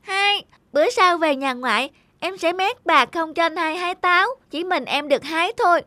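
A woman's voice: very high-pitched, normal speed, pushed and bright, no echo. A woman voicing a spirited little sister in a children's cartoon, childlike and petulant. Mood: huffy. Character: pouting, spirited little girl, younger sister. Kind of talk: animated children's cartoon acting.

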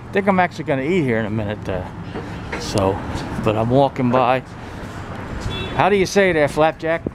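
Man narrating over loud street noise. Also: mid-range voice, lively intonation, moderate pace. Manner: offhand, wry joking. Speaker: vlogger giving a walking tour. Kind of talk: casual walking-tour commentary, reading signs aloud. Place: outdoors on a town shopping street. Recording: noisy outdoor street recording, traffic ambience. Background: loud street and car noise.

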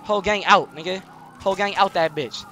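A young man live-streaming a role-play game, talking in excited, boastful, repetitive street slang with lots of swearing. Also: high voice, brisk, a little hiss or hum.

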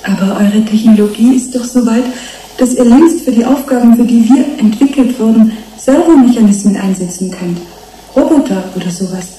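An actress playing a gentle, resigned young fish-human hybrid in a German radio drama, speaking haltingly and pleadingly. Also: female voice, on the high side, lightly acted. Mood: resigned, questioning. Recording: roomy echo, background noise.

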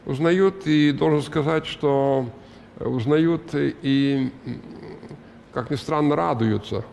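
Older man, gravelly and halting. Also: a little high, darkish tone, in a large hall, slight background noise. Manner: hesitant, many filler sounds, musing. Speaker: guest actor. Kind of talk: talk show interview. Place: TV studio with audience. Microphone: headset mic.

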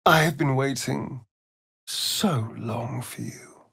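A man's voice: medium pitch, takes it slowly, creaky, fairly bright tone, slightly rough, no room sound, clean studio sound, full character voice. An actor playing a flamboyant, seductive, sardonic male vampire rogue in a video game, with an arch, drawling, mocking delivery. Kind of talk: theatrical video game character acting.

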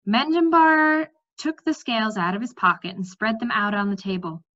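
A young woman reads a fantasy storybook aloud. Her voice is fairly high and bright, with very lively swings in pitch. The sound is slightly dull, like a webcam recording at home. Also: moderate pace. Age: young adult. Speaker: amateur book reader. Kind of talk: expressive read-aloud storytelling of a children's fantasy novel. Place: bright room at home, indoors.

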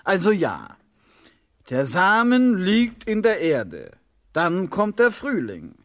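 An actor playing an absent-minded, rambling schoolteacher in a children's radio play, halting as he lectures. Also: sits high, huge pitch swings, a bit bright, dry recording, clean studio sound, heavily acted. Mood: distracted, lecturing. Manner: halting, lecturing, loses his thread. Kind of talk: 1970s German children's radio play acting.

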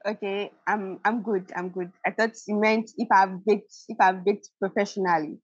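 A woman on a video call about starting a baking show, hesitant and nervous, stammering and laughing softly. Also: medium pitch, fairly even intonation, normal speed, husky, no echo. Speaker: caller, aspiring baker. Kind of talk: coaching call. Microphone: video call, audio only. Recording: dull lo-fi call audio.

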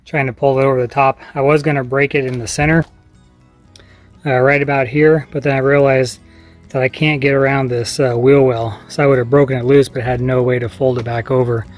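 A man's voice: medium pitch, flat delivery, fairly quick, faint music behind. Plainspoken man explaining a farm repair. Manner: easygoing, plainspoken, explaining as he works. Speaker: homesteader vlogger. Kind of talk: casual how-to vlog. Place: outdoors on a farmyard. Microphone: camera mic, selfie at arm's length.

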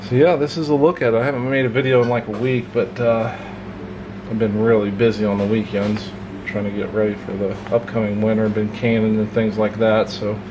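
A man casually reviewing a pocket knife at his home workbench, relaxed and folksy, with plenty of filler words. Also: fairly low pitch. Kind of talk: casual hands-on product review. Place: home workshop desk, indoors. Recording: clear hum of room noise.

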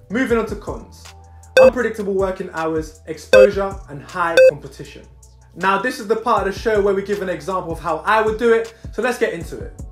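Young guy from brazil hosting his show, punchy upbeat delivery, kinda echoey small room. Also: sits high. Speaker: host. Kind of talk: scripted presenting to camera. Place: small indoor room against plain wall. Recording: echoey room, light music behind.

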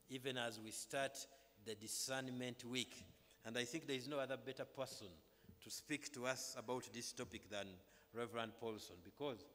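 A middle-aged man with a Ugandan accent welcomes a congregation and gives church announcements in a measured, halting but emphatic way, speaking at a pulpit microphone. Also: mid-range voice, a bit bright. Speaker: clergyman leading a church service. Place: large brick-walled chapel, indoors. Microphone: microphone on stand at pulpit, through face mask. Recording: reverberant hall through PA microphone.

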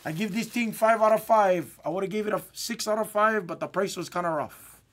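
A man with a Hawaiian Pidgin accent voicing a brash male infomercial host who tests gadgets and gives loud, blunt, joking verdicts. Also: high-pitched, fairly quick, voice pushed up. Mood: hyped.

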